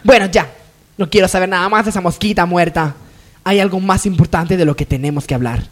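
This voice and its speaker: A woman's voice: fairly low pitch, brisk, lightly acted. A woman playing a haughty, scheming lady of the hacienda, imperious and melodramatic, in a parody radio soap.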